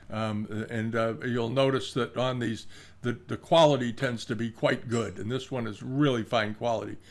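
A man gives unscripted commentary as an antiques host, haltingly, musing and repeating phrases. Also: mid-range voice, gravelly. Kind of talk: unscripted commentary on auction items. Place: furnished room at home, indoors.

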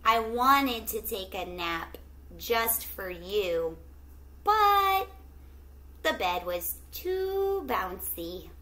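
A middle-aged woman teacher reading a picture book aloud to children, with expressive, drawn-out storytelling and questions to the young listeners. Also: medium pitch. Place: small indoor room at home with plants.

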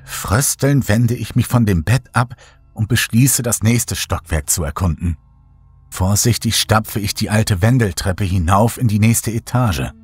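A man narrating a horror audiobook in the first person, measured, somber and suspenseful, with dramatic delivery. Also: fairly low pitch, slightly rough, dry recording, faint music behind.

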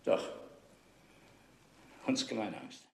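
An actor playing a wealthy, uneasy bachelor businessman, genteel and expressive, in a German crime radio play. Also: takes it slowly, natural voice. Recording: very echoey old radio play.

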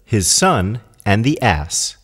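A male narrator reads a storybook tale with lively, varied intonation. His delivery is deliberate and expressive, as in scripted narration. The voice rings with a long, hall-like reverb. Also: on the low side, husky.

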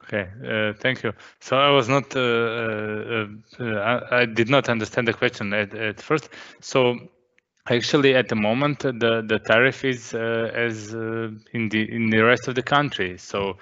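Man, bright tone, explanatory panelist, lo-fi call. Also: mid-range voice, fairly even intonation, measured pace. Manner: explanatory, repeats words while thinking. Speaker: energy expert panelist. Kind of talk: technical explanation. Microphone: video call mic. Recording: dull lo-fi call audio.

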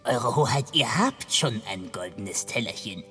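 Dithering fairy-tale servant, polite n halting, drawn out and swoopy, kids radio play. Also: male voice, mid-range voice, animated, pushed and bright, faint music behind. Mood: deferential. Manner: halting, formal, obsequious. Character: hesitant, deferential, flustered male royal servant. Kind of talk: children's radio play acting.

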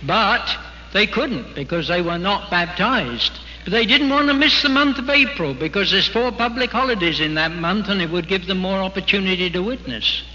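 A man's voice: sits high, animated, moderate pace, pushed and bright. A man giving an earnest, exhorting sermon at a religious convention. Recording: dull archival recording in a big hall.

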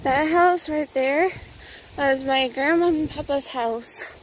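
Young woman, high voice, slow unhurried chat, walking outdoors with a phone held out, faint outdoor hiss. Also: poor audio. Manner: chatty, rambling, a bit out of breath from walking. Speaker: vlogger. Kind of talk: casual walking vlog. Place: outdoors on a suburban path. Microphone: phone held at arm's length.

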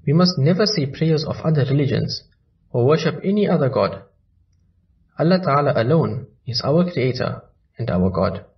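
A man narrating a children's story in a slow, lively storybook manner. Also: a little high, highs cut off.

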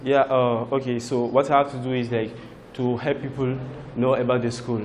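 Young man at average pitch. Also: little pitch movement, normal speed, darkish tone. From Benin. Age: 20s. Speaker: school marketing staffer. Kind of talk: interview. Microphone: lapel.

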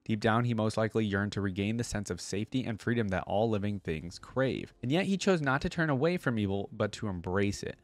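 A young man with a fairly low voice speaks close to the mic in a dry indoor setup. He moves between expressive reading of story lore and casual, conversational commentary as a reaction host. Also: a little fast, few pauses, no room sound. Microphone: close desk microphone on a boom arm.

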